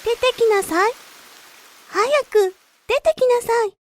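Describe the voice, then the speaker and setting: A gentle spirit of nature, acted by a woman in a children's storybook. The voice is high, kindly and coaxing, encouraging a little one along. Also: slow, no room sound. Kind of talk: children's picture-story character acting.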